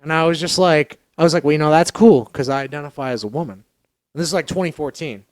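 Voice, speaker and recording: A man's voice: on the high side, fast. A young man from South Florida, a podcast co-host rambling through stories after a few drinks. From South Florida, born in Detroit. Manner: rambling storytelling, tipsy. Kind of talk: casual chat.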